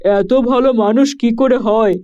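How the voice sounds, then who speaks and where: This is a kindly village landlord in a cartoon. He sounds warm and earnest, with a mellow voice that is pitched high, on dull lo-fi audio. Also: a little slow.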